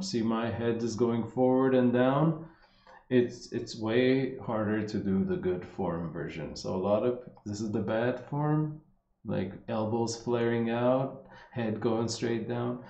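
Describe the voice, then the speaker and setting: A man's voice: lowish voice, mellow. A man in his thirties with a slight Armenian accent, a fitness coach rambling casually through viewer questions on a live stream, full of fillers and restarts. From Los Angeles, United States. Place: indoor room. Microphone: wired earphone mic. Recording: dull echoey live-stream audio.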